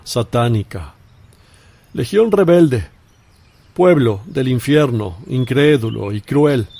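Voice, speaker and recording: An actor playing a majestic holy teacher with a solemn, authoritative, declamatory manner in a religious radio drama. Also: male voice, mid-range voice, warm tone. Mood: indignant.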